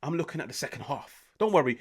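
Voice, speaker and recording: Young british man, football reaction host, high-pitched, very animated, swears a lot. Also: fast, husky. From United Kingdom. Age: 20s. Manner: animated, swears often, swings between loud outbursts and near-whispers. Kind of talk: excited casual football reaction.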